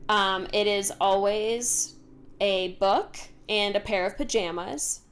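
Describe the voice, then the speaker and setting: A woman's voice: mid-range voice, takes it slowly. Chatty young woman co-hosting a podcast. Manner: chatty, relaxed storytelling with many fillers. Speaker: podcast co-host. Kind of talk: casual chat.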